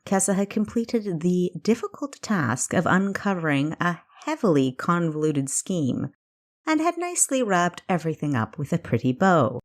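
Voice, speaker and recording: A woman narrates a work of fiction in a low voice. She reads scripted prose as an audiobook storyteller. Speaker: author narrating own audiobook.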